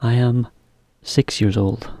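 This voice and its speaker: A man teaching a beginner English lesson, explaining slowly in plain words with example sentences. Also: mid-range voice, fairly even intonation, dark tone, no room sound.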